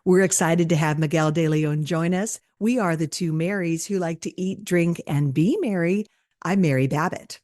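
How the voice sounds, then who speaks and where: A woman's voice: on the low side, moderate pace, darkish tone, dry recording, studio quality. A woman co-hosting a podcast, reading a scripted welcome and then asking her guest questions. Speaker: podcast co-host.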